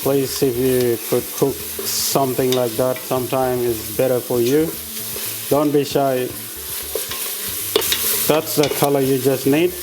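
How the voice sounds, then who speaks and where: A man from South Sudan with a South Sudanese accent, demonstrating a recipe in his kitchen and explaining each step in an unhurried way, with many hesitant pauses. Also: mid-range voice, steady tone, noisy background. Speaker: home cook. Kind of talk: cooking demonstration.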